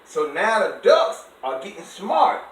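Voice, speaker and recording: Bright-voiced man reading aloud, high-pitched, echoey. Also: unhurried. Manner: expressive, stops to quiz and explain words simply. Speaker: adult reading a bedtime story to a child. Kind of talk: animated read-aloud with explanations for a child. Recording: echoey room, distant microphone.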